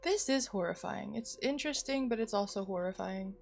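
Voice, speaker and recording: A woman live streamer chatting casually to viewers in an expressive, rambling, playful way, sounding a little sleepy. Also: medium pitch, fairly quick, gravelly, a little hiss or hum. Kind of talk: casual chatty livestream sign-off. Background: music.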